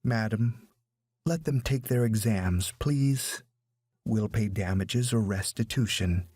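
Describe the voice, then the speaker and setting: A man's voice: fairly low pitch, slow pace, a little mellow, slightly rough, no echo, studio quality. A man voicing a conciliatory tall man who pleads politely in a story. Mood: pleading.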